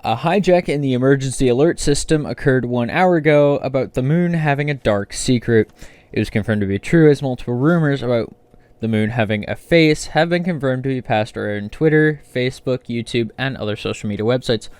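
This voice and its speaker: A man plays a grave breaking-news anchor. He reads formally from a script in a mid-pitched voice, recorded close and dry. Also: brisk, a little mellow, clean tone, dry recording, lightly acted.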